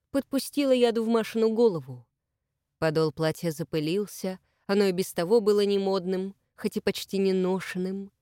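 A woman reading a novel aloud as an audiobook narrator, in an even, measured manner. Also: mid-range voice, no echo, studio quality. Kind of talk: scripted narration.

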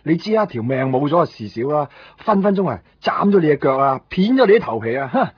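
An actor playing a mock-ominous sidekick with a Hong Kong Cantonese accent in a comic radio drama, drawling and teasing. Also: male voice, fairly high pitch, sing-song, a little fast, breathy edge, no echo. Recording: muffled radio drama with crowd.

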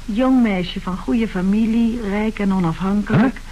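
A woman's voice: medium pitch, measured pace, warm tone, close to an everyday voice. A woman acting in a radio play as a curious, guileless woman. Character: curious, guileless woman reading marriage adverts aloud. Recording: dull, hissy old radio recording.

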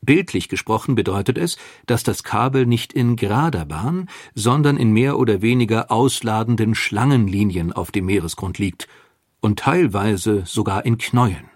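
A man reading scripted documentary narration in a measured, explanatory manner. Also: fairly low pitch, no echo, studio quality.

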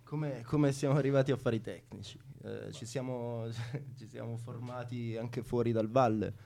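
Casting: young male radio guest, mellow mid-range voice, hesitant casual talk. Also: mid-range voice, steady tone, moderate pace, creaky. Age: young adult. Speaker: theatre technician, radio guest.

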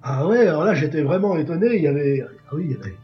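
Higher-voiced man chatting, slightly muffled. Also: expressive, racing, soft background music. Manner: interjects, adds corrections. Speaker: interviewee, club co-organiser. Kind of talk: casual conversational interview. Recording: dull lo-fi recording.

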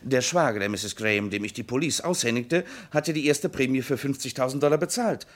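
A man's voice: mid-range voice, brisk, natural voice. An actor playing a talkative, slightly flustered insurance salesman in a vintage radio crime drama. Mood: explanatory.

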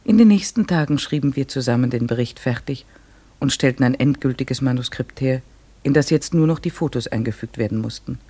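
A man's voice: mid-range voice, warm tone, slightly rough. Earnest, troubled male chronicler. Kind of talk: literary radio play narration.

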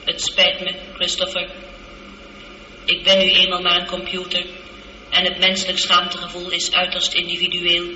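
A woman playing a polite, logical ship's computer in a science fiction radio drama, speaking in a measured, formal, precise way through a reverberant effect. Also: fairly low pitch, monotone, sharp tone. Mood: gently matter-of-fact. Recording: echoey, dull, lightly noisy radio recording.

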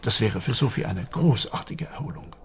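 An actor playing a courteous, fatherly older gentleman in a radio drama, formal and persuasive, with a creaky voice. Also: fairly low pitch, lively intonation, normal speed, a little hiss or hum, natural voice. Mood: earnest, pleading. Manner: courteous, formal, hesitant in places. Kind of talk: German radio drama acting.

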